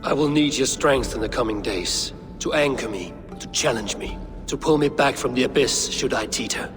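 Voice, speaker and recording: A man's voice: medium pitch, moderate pace, music in the background, lightly acted. An actor playing a stern, suspicious Norse god king in a video game, with commanding, formal, archaic phrasing. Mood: troubled, grave. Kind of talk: dramatic game cutscene acting.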